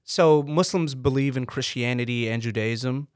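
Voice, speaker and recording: A man's voice: medium pitch, no echo. Joking young man, dry close mic. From Canada. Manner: quick, crude, joking. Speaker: stand-up comedian guest. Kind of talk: casual podcast chat.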